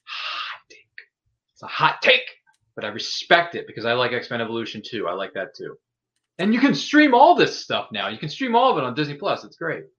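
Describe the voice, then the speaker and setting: A man's voice: medium pitch, huge pitch swings, brisk, a bit bright, dull audio. A man co-hosting a podcast, chatting enthusiastically and nostalgically as a fan, repeating phrases for emphasis. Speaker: podcast co-host. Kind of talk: casual nostalgic fan chat.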